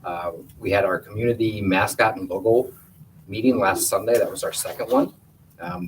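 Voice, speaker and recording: A man giving a plainspoken, conversational report at a school board meeting in a meeting room. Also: low-pitched. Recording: distant room mic with faint hiss.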